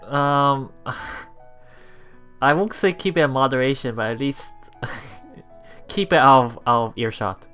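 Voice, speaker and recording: A let's play host casually commenting on a visual novel and reading its lines aloud in a halting, chuckling way. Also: medium pitch, fairly bright tone. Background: visual novel background music.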